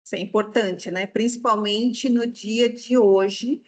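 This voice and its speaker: A woman's voice: mid-range voice, fairly even intonation, unhurried, a little mellow. A woman from São Paulo hosting a podcast, speaking in a welcoming, conversational way. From São Paulo, Brazil. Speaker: podcast host. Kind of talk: podcast interview.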